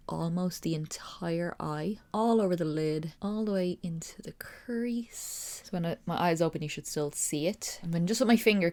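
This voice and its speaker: A woman's voice: on the low side, dark tone. A young woman with a stuffy, nasal voice from a cold, chatting casually through a makeup tutorial. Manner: chatty, rambling, upbeat. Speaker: makeup vlogger.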